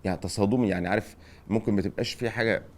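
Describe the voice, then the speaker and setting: Fast-talking low-voiced Egyptian man. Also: steady tone. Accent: Egyptian Arabic. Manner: advising, often checks in with rhetorical questions. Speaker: podcast host, former football director. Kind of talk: casual advice-giving chat.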